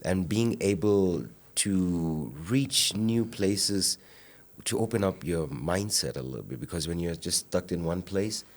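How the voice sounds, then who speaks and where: A Kenyan man in his forties, a podcast guest telling stories from his childhood in a relaxed conversational way and acting out moments from his past. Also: deep voice, measured pace. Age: 40s. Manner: animated storyteller, acts out his younger self. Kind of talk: casual interview storytelling.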